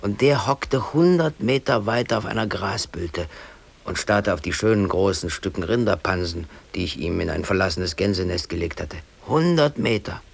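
A man's voice: low voice, lively intonation, moderate pace, gravelly, slightly rough, exaggerated voice. An actor playing an aggrieved, defiant working man in his thirties, brooding aloud in colloquial speech in a radio drama. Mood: rueful recounting. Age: 30s. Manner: colloquial, brooding, talking to himself. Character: aggrieved, defiant working man, hobby photographer, in police custody.

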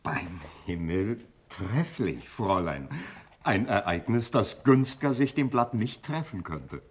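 A man's voice: on the low side, sing-song, slow pace, slightly rough, heavily acted. A man playing a deferential, courtly count and officer in a classical verse radio drama, courteous and formal. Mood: pleased, flattered.

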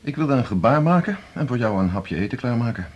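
An actor playing a domineering, condescending senior hospital doctor in a radio drama. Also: male voice, mid-range voice, expressive, a little fast, darkish tone, highs cut off, lightly acted. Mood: probing. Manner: pointed, condescending, commanding. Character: domineering, prying male senior hospital doctor, needy underneath. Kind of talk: 1980s Dutch radio drama acting.

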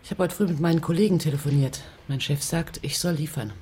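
A woman's voice: low voice, quick pace, dark tone, a little hiss or hum, natural voice. An actress playing an inquisitive, self-assured woman journalist from Paris in a radio crime drama. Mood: cool, precise.

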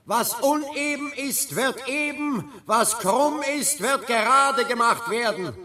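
An actor playing an impassioned civil rights preacher giving a deliberate, declamatory speech in a radio drama. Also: male voice, very high-pitched. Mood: fervent, solemn. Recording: loud crowd and noise behind.

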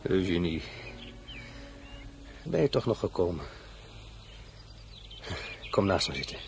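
An actor playing a proud fallen emperor in a radio play, who sounds wistful and then curt. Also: male voice, deep voice, very animated, slow pace, vocal fry, soft background music, exaggerated voice.

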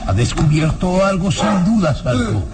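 An actor playing a wary, commanding villainous baron in an old radio serial, with a deliberate, theatrical delivery. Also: male voice, high voice, very animated. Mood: suspicious. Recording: vintage radio drama, loud music behind.

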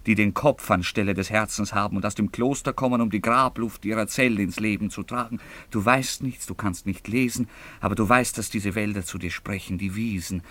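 A man plays a young nobleman of the stage with a low voice. He woos with ardent, declamatory poetry, in the grand manner of a classic radio play. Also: fairly bright tone, lightly acted. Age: young adult. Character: passionate young baron wooing a peasant girl. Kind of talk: theatrical German radio drama acting.

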